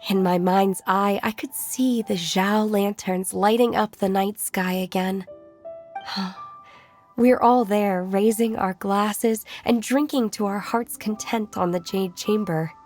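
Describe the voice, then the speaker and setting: Game role, for a woman: young mountain disciple, reserved, formal and earnest, mid-pitched, music underneath. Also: fairly even intonation, darkish tone, close to an everyday voice. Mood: wistful. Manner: measured, formal, solemn. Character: reserved young female adept disciple, earnest and literal-minded. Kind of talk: video game voice acting.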